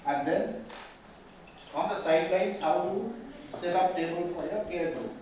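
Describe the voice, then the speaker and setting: A man's voice: high voice, a little slow, a little mellow, distant mic. Man lecturing in an echoey hall. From Tamil Nadu, India. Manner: explanatory, asks the room questions often. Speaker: mediation trainer. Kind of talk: classroom lecture. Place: large echoey training hall. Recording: very echoey hall, speaker off mic, faint room hum.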